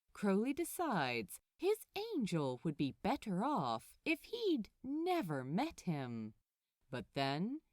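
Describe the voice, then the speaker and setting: Woman reading a story out loud, super swoopy expressive delivery, kinda unhurried, dry close sound. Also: medium pitch, no room sound. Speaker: podfic narrator. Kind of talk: scripted storybook narration, read aloud.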